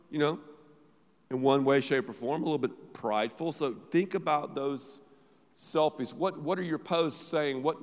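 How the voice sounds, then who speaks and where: Emphatic pastor preaching in a reverberant hall. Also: male voice, on the high side. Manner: emphatic, repeats phrases, sudden swings from loud to quiet. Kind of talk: sermon. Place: large church auditorium.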